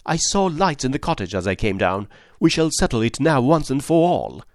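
A man's voice: medium pitch, big pitch swings, normal speed, no echo, clean studio sound. A man reading aloud and voicing an agitated husband who talks in a raised, stern, insistent voice. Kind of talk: audiobook character voice.

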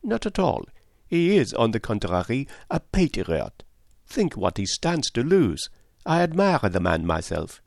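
A clever older foreign detective of the storybook kind, as a male narrator plays him. He speaks precisely and placidly, with a teasing air and very lively swings of pitch, in a close, dry sound. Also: unhurried, vocal fry, no echo, full character voice. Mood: thoughtful. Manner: precise, philosophical, sprinkled with French endearments. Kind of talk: audiobook character dialogue.

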